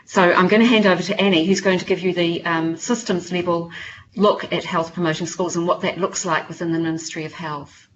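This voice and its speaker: A woman's voice: lowish voice, fairly even intonation, brisk. A woman with a New Zealand accent, a program manager presenting on a webinar in a friendly, direct way. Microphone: computer mic on a webinar call. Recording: dull lo-fi call audio with faint noise.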